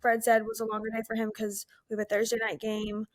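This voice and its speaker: A woman in her late twenties co-hosting a casual podcast, soft-spoken and a little tired, often giggling. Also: fairly high pitch, completely flat delivery, fast, no echo. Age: late 20s. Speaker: podcast co-host. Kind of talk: casual chat.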